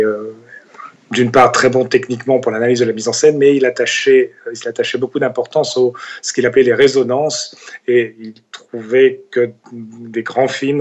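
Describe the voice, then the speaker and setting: Halting Frenchman, slightly dull recording. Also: medium pitch, darkish tone. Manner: halting, frequent pauses. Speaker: comic book writer, interviewee. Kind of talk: spontaneous interview talk.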